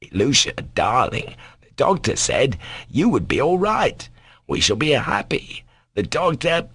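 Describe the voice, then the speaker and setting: A man's voice: medium pitch, a little slow, pushed and bright, no echo, pitched above the performer's own voice. A man voicing a humble, despairing captain and anxious father in a storybook, faltering and deferential. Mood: consoling.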